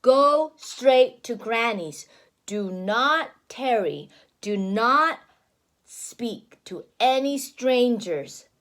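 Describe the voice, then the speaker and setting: A caring storybook mother, read by a woman, high-voiced and deliberate. She gives gentle but firm instructions to her daughter, slowly and clearly. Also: lively intonation, full character voice.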